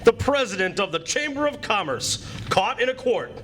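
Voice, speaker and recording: A blustery, pompous neighbour acted by a man, high and very animated. He chortles and mocks in old-time radio comedy style. There is faint noise in the live recording. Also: normal speed, heavily acted. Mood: gleeful, smug. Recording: noisy live stage recording.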